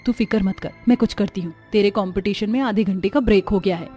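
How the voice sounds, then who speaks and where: A woman's voice: medium pitch, quick pace, warm tone, soft background music, lightly acted. A woman playing a caring, protective young elder sister, a poor seamstress, in an earnest, soothing storybook manner. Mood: reassuring.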